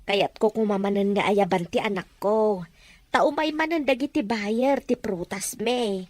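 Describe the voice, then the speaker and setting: A woman's voice: mid-range voice, a little slow, a little mellow, no echo, lightly acted. A woman playing a proud, earnest provincial mother from a farming family, who speaks in an indignant, earnest way.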